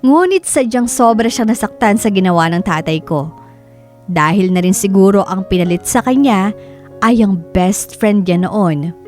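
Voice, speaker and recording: A woman's voice: medium pitch, a little mellow, lightly acted. Woman acting as reflective Filipino daughter, music underneath. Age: young adult. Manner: confiding, reflective. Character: sorrowful daughter narrating her troubled family life. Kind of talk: first-person scripted drama narration.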